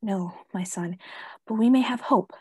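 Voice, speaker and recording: A solemn, insistent professor who hunts vampires played by a woman speaks in a breathy, dark voice. The delivery is deliberate and emphatic radio-play acting, recorded close and dry. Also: mid-range voice, no room sound. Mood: grave, pleading. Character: grave, insistent vampire-hunting professor Van Helsing.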